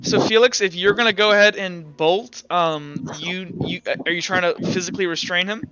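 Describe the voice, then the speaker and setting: A man acting as game master, improvising narration and rulings in a halting way over an online call. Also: high-pitched, lo-fi sound, a crowd in the background. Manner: halting, feeling out descriptions. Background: faint music.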